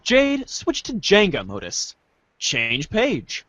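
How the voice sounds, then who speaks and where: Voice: medium pitch, huge pitch swings, slow, fairly bright tone, no echo. A podcast host doing a playful, theatrical group reading of a webcomic script. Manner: playful, theatrical page-change calls.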